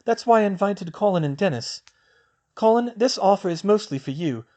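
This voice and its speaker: A man voicing a sharp, determined teenage witch who talks briskly and decisively. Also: high-pitched, lively intonation, pitched above the performer's own voice. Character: sharp, determined teen witch, organized planner.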